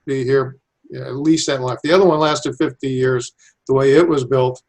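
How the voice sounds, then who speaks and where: A man's voice: medium pitch, fairly even intonation, normal speed, darkish tone. A man giving informal, step-by-step explanations as an engineer being interviewed. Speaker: civil engineer, airport designer being interviewed.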